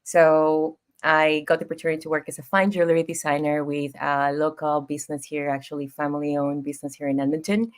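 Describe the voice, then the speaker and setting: Low-voiced Ecuadorian woman telling her story. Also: level tone, fairly quick, hardly any pauses, clear, resonant tone. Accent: Ecuadorian Spanish. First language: Spanish. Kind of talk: casual interview storytelling.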